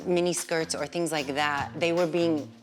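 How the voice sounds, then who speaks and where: Woman, forties, very low voice, measured and thoughtful, faint music behind. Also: fairly even intonation. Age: 40s. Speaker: interviewee. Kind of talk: candid sit-down interview.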